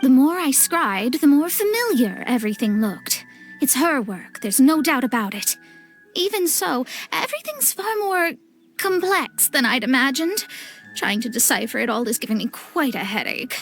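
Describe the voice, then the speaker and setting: A high, animated young woman's voice for a haughty video game astrologer. The delivery is theatrical and wry, easily exasperated. Clear music plays underneath. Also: normal speed. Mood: explanatory. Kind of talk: video game cutscene acting.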